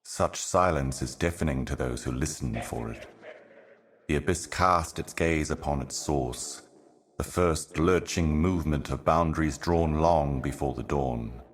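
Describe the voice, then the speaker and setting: An actor playing an ominous, ancient otherworldly herald in a video game, speaking in solemn, cryptic, deliberate monologue. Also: male voice, very deep voice, a little hiss or hum. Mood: cryptic, foreboding. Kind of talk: scripted video game voice acting, slow monologue.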